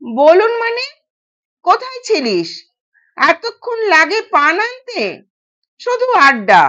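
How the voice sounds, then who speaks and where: A woman voicing a stern older mother-in-law in an audio story, scolding and giving sharp orders. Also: extremely high pitch, huge pitch swings, takes it slowly, heavily acted. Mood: impatient, scolding.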